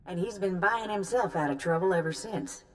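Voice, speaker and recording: An actress playing a brisk, businesslike mission handler giving a briefing in a video game. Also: female voice, on the low side, close to an everyday voice. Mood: businesslike, knowing. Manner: businesslike, instructive.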